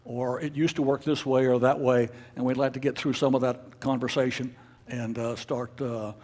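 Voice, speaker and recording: Man, mid-pitched voice, informal meeting presenter, halting delivery, rambling, many uhs and restarts. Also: flat delivery, a little mellow. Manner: halting, rambling, frequent uhs and false starts. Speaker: amateur radio club officer presenting at a meeting.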